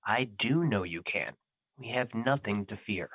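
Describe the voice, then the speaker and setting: A man playing Fear as an earnest, protective personified emotion in an audio drama, with formal, measured phrasing. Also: lowish voice, expressive, a bit bright, slightly rough, dry recording, full character voice. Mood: reassuring.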